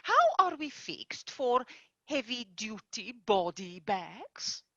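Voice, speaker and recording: A woman's voice: on the high side, very animated, slow, fairly bright tone, no room sound, highs cut off, heavily acted. A woman playing a prim, formal female tooth fairy boss who is horrified by her staff. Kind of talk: stage play performance.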